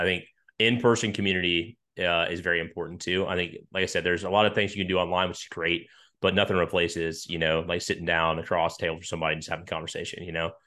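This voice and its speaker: A man's voice: deep voice, sharp tone. A man in his thirties hosting a podcast, chatting casually and informally as he interviews a guest. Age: 30s. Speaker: podcast host.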